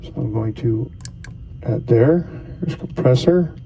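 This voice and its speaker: A man's voice: medium pitch, takes it slowly, creaky, dark tone, slight background noise, low-quality recording. A man casually talking through a device setup step by step as a tutorial host. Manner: casual, talks through each step as he goes.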